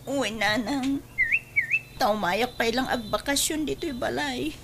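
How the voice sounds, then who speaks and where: A woman's voice: on the high side, a little slow, background noise. A woman playing an exasperated, aggrieved wife in a radio drama, scolding and plaintive, with an Ilocano accent.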